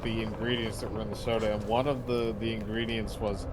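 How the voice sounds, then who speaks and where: A man's voice: on the low side, level tone, fairly quick, noisy background. A man commenting casually on a video game as a co-commentator, with game music playing behind him. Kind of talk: casual gaming chat.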